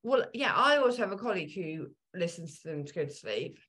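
A woman in her thirties with a slightly low voice, co-hosting a relaxed podcast. She reads listener mail aloud and chats with plenty of ums and likes. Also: fairly quick, breathy edge. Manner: chatty, with frequent ums and likes. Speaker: podcast co-host. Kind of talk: casual chat, reading listener mail.

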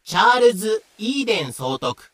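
A man's voice: high-pitched, sing-song, moderate pace, fairly bright tone, dry recording. A man reading scripted narration for a voice drama.

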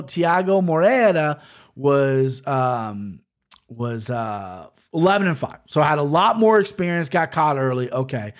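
A brash, foul-mouthed man talks through fight odds in a loose, rambling way. His voice sits on the high side for a man. The delivery is casual and unscripted. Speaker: sports betting picks host. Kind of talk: casual betting breakdown.

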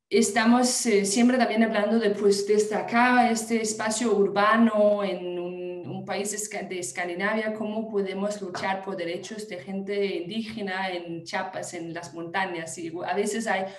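A woman's voice: medium pitch, fairly even intonation, slow, roomy sound. Woman speaking careful Spanish. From Denmark. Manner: hesitant, many fillers and restarts. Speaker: guest activist. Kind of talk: conversational interview answer.

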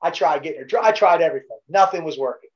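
A man's voice: fairly high pitch, lively intonation, racing, highs cut off. A middle-aged man with a Baltimore accent, a podcast guest telling laid-back, profane stories in casual chat. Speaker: podcast guest, former Navy SEAL.

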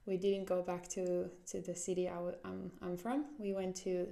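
A woman's voice: lowish voice, monotone, normal speed, vocal fry, mellow. A woman in her twenties from Finland with a Finnish accent, hosting a solo podcast in an earnest way, often restarting as she searches for words. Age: 20s. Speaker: podcast host.

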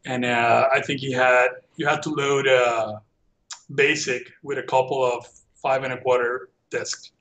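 A man in his forties from Venezuela, speaking English with a Venezuelan Spanish accent, telling his story as a guest in a relaxed, self-deprecating way. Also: mid-range voice, unhurried, rough recording. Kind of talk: casual conversation.

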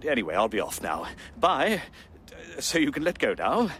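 A man's voice: medium pitch, very animated, normal speed, fairly bright tone, slight background noise. An actor playing a fussy, complacent, oblivious male police inspector in a radio comedy, dithering and awkwardly polite. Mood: flustered.

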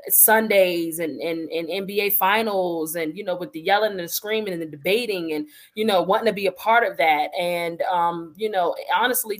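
A woman in her thirties from the Washington, D.C. area, a sports media guest chatting casually and animatedly with many fillers and laughs. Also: medium pitch. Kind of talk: casual interview conversation.

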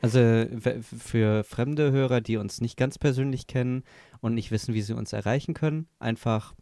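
A man's voice: medium pitch, moderate pace, dark tone, no echo, studio quality. A man co-hosting a casual podcast, playful, chiming in with short agreements. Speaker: podcast co-host.

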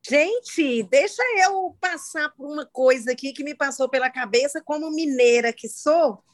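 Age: middle-aged. Sex: woman. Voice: high-pitched. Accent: faint Minas Gerais Brazilian Portuguese. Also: normal speed. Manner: chatty, warm hostess with frequent restarts. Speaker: podcast host. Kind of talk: casual interview chat.

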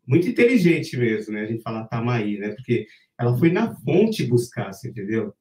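A man's voice: mid-range voice, big pitch swings, moderate pace, darkish tone, recorded from a distance. A man casually telling the story of how he met his wife, rambling and tagging his sentences with "né?". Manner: rambling, frequent "né?" tags. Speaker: interview guest, singer. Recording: roomy, from off-mic.

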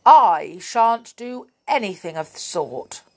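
A woman's voice: mid-range voice, sing-song, unhurried. A woman doing a cross, scolding old witch. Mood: scornful. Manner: loud, scolding, indignant.

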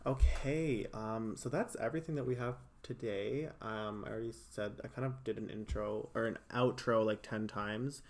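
A man's voice: lowish voice, steady tone, normal speed, hardly any pauses. Guy hosting a podcast, super casual, lots of "like" and laughing. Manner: chatty, filler-heavy, laughs easily. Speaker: podcast host. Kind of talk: casual chat.

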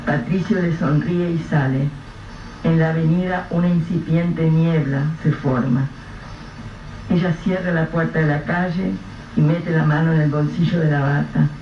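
A man giving measured, literary narration for a radio drama. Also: on the high side, steady tone. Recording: distant, roomy, dull, noisy radio-play recording.

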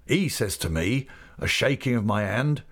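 A man's voice: medium pitch, expressive, unhurried, a bit bright. A man voicing a hearty old male fisherman who talks in a broad rustic dialect. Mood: earnest.

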